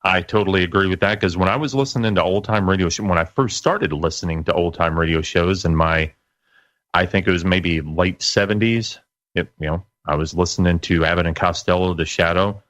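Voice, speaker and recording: Deep-voiced man in his late forties. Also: steady tone, brisk, fairly bright tone, dry recording, muffled recording. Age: late 40s. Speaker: guest, internet radio broadcaster. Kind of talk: casual interview chat.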